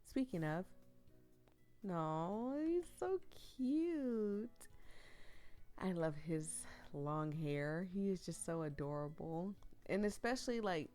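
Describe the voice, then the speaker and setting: A woman's voice: on the low side, expressive, takes it slowly, warm tone, smooth, soft background music. A young woman getting over the flu and losing her voice, reading a visual novel aloud and breaking off for giggly, rambling asides to her viewers. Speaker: streamer playing a visual novel.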